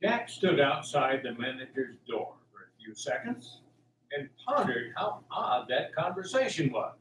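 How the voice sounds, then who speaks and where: A man's voice: medium pitch, big pitch swings, measured pace. A man narrating a radio drama in a scripted storytelling style. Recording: roomy, distant, faint noise.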